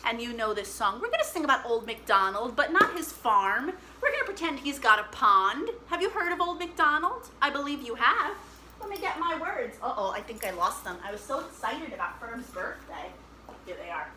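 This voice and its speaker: A woman hosting a children's storytime, chatty and animated, speaking directly to young children. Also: a little high, moderate pace, a little hiss or hum.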